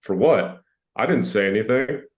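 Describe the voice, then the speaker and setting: A man's voice: lowish voice, expressive, normal speed, natural voice. A man playing a teasing, wisecracking TV crew colleague with a dry manner at a comedy table read. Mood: joking. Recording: roomy, echoing table-read sound.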